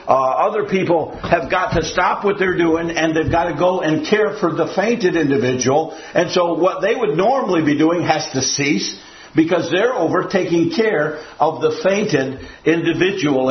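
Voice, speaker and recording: A man's voice: a little high, roomy sound, highs cut off. An older man preaching a sermon to a church audience, rambling and folksy, with a habit of repeating himself.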